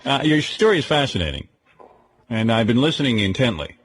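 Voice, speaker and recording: A man's voice: medium pitch, sing-song, a little fast. A man hosting a radio show, questioning a caller with mock-polite, teasing courtesy, heard on an old radio broadcast. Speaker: radio host. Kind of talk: interviewing a caller on air.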